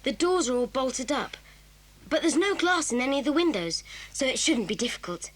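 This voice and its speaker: Cast: a woman as a keen young boy, lively and high, audio drama. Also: moderate pace, poor audio. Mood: eager. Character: eager, determined schoolboy.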